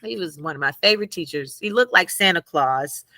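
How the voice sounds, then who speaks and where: A woman guest and former math teacher in a conversational interview, telling animated stories and laughing often. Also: lowish voice, a little fast, no echo.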